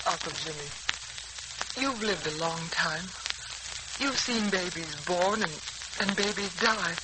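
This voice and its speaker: A woman's voice: fairly low pitch, a little slow, natural voice. Actress as a worried genteel mother, crackly radio. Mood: sorrowful, pleading. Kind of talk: 1940s radio serial acting. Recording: noisy, crackling old 1940s radio recording.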